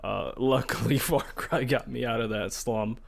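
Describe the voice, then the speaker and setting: A man with an average-pitched voice co-hosts a gaming podcast. His delivery is informal and conversational, like chat between friends. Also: a little slow, vocal fry.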